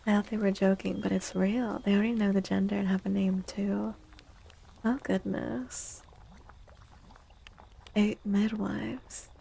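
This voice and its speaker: A woman hosting a soft-spoken ASMR livestream and reading tarot, gentle and reassuring, often slipping into a whisper. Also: medium pitch, steady tone, a little slow, gravelly, long pauses, slightly rough, low-quality recording. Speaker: livestream host and tarot reader.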